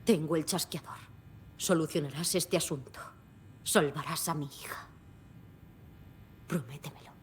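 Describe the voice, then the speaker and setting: A woman's voice: measured pace, long pauses. An actress voicing a determined, direct investigator and protective mother in dramatic video game dialogue. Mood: demanding. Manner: direct, resolute. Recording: faint background rumble and noise.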